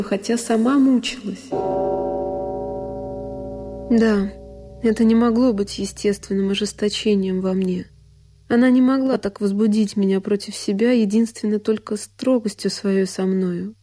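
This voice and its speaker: An actress playing a sorrowful young woman who recalls a lonely childhood, in an intimate, deliberate radio monologue. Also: medium pitch, lightly acted. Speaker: solo actress. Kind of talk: dramatic literary monologue for radio. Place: studio radio production. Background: music.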